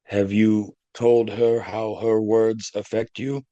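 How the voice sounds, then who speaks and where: Guy doing kindly priest in an audio drama, slow mellow voice, soothing and patient. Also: lowish voice, flat delivery, no room sound, lo-fi sound. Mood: gentle counsel. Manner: measured, soothing, pastoral. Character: gentle, patient male priest giving counsel. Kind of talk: community theatre audio play acting.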